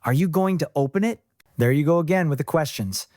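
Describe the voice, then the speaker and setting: A man's voice: a little high, lively intonation, a little fast, darkish tone, no room sound, clean studio sound, lightly acted. A man voicing wary, teasing young twin brothers in casual audiobook dialogue.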